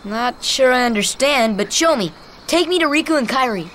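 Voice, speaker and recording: A man's voice: very high voice, expressive, moderate pace, sharp tone, slight background noise, exaggerated voice. An actor playing an earnest, friendly young teenage boy adventurer in a game, hesitant, with an American accent. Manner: hesitant, puzzled. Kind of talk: video game cutscene acting.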